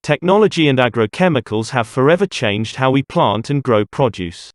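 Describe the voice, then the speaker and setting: Guy reading practice passages out loud, mid pitched voice, really dry recording. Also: lively intonation, moderate pace, dry recording. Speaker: narrator of exam practice passages. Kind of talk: scripted read-aloud narration.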